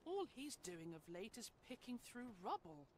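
An actress playing an eager young villager in a fantasy game, expressive and emphatic. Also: female voice, a little high, normal speed, slight background noise. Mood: gossipy. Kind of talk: animated fantasy game acting.

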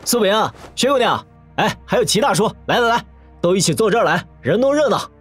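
A man's voice: high-pitched, very animated, fairly quick, fairly bright tone, breathy edge, soft background music, full character voice. A man playing a playful young prince in an audio drama, casually commanding and full of mock-polite banter. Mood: breezy, hospitable.

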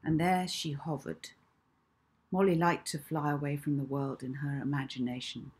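Low, husky woman reading a story. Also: flat delivery, normal speed, darkish tone. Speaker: author reading own book. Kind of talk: children's audiobook narration. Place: small indoor room at home.

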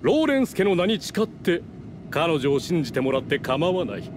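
A man's voice: a little high, sing-song, normal speed, background noise. A man voicing a haughty, scheming nobleman in a Japanese video game, speaking formally and condescendingly. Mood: proud. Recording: game music behind the voice.

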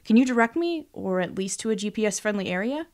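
A polite young gentleman played by a woman narrator in a storybook. He is friendly and appreciative, a courteous stranger. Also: medium pitch, animated, fairly quick, natural voice. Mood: apologetic.

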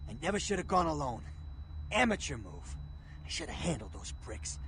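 An actor playing a badly beaten, bitter gangster ghoul in a video game, groaning in pain. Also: male voice, a little high, sing-song, normal speed, a bit bright, slight background noise. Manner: groaning, pained, gritty streetwise talk. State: in pain, straining.

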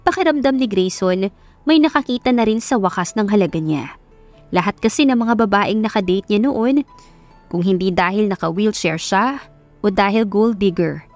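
A woman narrates a love story in a scripted, dramatic style. Her voice sits at a medium pitch, and music plays behind the narration. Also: animated, normal speed, a little mellow. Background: background music.

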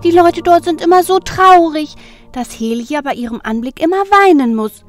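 A woman's voice: very high voice, expressive, moderate pace, faint music behind. A woman playing a cheerful, childlike goddess in an audio drama, with girlish glee. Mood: gleeful. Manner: girlish, gleeful.

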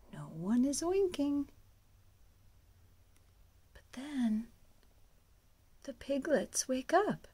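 A woman with a high, breathy voice narrates a picture book for young children. She takes her time and swoops up and down, often hushing her voice as if full of wonder. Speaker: storyteller and children's music teacher.

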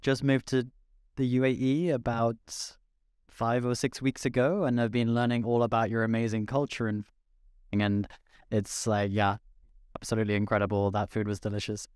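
A man's voice: medium pitch, little pitch movement, normal speed, a little mellow, clear, resonant tone, no room sound, clean studio sound. A young man from London introducing himself as a panelist on stage, earnest and upbeat, with a handheld mic. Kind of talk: panel talk. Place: stage in a large event hall.

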